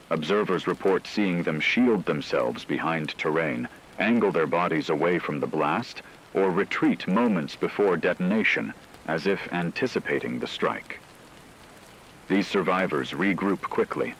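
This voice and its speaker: A man voicing a grave radio news anchor, reading scripted disaster news in a solemn, dramatic, measured way. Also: sits low, a bit bright, slight background noise, heavily acted, rough recording. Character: grave male radio anchor reporting an apocalypse.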